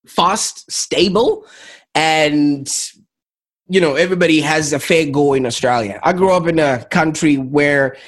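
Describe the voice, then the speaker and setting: A man's voice: on the high side, unhurried. A man with a Zimbabwean accent, telling his own story conversationally as an interview guest. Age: adult, 28 or older. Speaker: interview guest, entrepreneur and coach.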